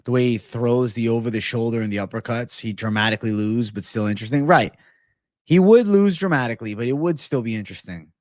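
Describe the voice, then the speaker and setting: A man's voice: mid-range voice, fast talker, dry recording. Slangy, profane male livestreamer, dry close sound. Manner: slangy, profane, bro-heavy banter. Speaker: livestream host. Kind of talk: casual livestream chat, reading and answering viewer comments.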